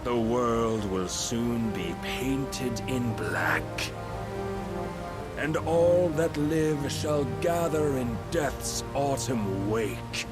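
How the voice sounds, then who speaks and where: An actor playing a grave, menacing godlike servant in a fantasy game, speaking in solemn, pompous, archaic phrases. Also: male voice, mid-range voice, animated, very slow, loud music behind the voice. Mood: ominous, scornful. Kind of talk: dramatic fantasy game cutscene acting.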